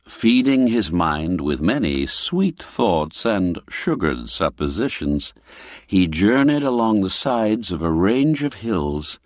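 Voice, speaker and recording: A man reading a classic tale aloud as a scripted narration, slow and storytelling, letting phrase endings fall away. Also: sits low, no room sound, clean studio sound. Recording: faint music behind.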